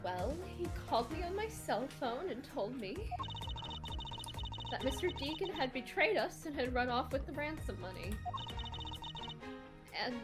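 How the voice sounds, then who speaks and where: A woman voicing a distraught, high-strung young woman in a melodramatic fan dub of a video game, with music under her. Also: sing-song, measured pace, long pauses. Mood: shaken, pleading. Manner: melodramatic, emotional delivery.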